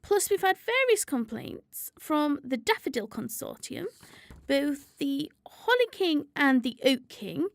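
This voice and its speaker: A woman playing a gentle spirit of springtime from a radio play. Her voice is high and swoops expressively, recorded dry and close. She is orderly and prim, quick to correct others. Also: big pitch swings, gravelly, no room sound, full character voice. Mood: prim, businesslike. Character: Spring, gentle, orderly female season spirit chairing a meeting. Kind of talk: radio drama acting.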